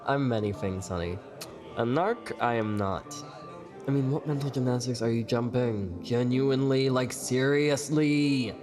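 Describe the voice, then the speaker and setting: A man's voice: mid-range voice, measured pace, over music, natural voice. An actor playing a sassy, hot-tempered young male bar performer in an audio drama, sarcastic, flippant and sweary. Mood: combative.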